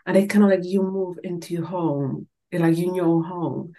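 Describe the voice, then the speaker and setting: A woman's voice: fairly low pitch, normal speed, warm tone. A woman from the Czech Republic with a Czech accent, hosting a podcast in a casual, conversational way. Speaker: podcast host.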